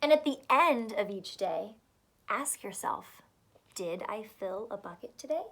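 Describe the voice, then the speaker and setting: A woman's voice: medium pitch, expressive, unhurried, a bit bright, breathy edge. A woman teacher reading a picture book aloud to children, adding encouraging asides and questions.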